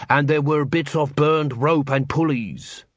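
A man's voice: fairly high pitch, unhurried, dry recording, lo-fi sound. A man voicing a small, humble male lance constable who is plain-spoken and deferential, in an audiobook.

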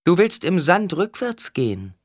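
A man voicing a stern, cryptic prophetess in an audiobook, intoning solemnly with a sharp edge. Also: mid-range voice, animated, unhurried, no echo, clean studio sound, pitched above the performer's own voice. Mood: sharp, scornful.

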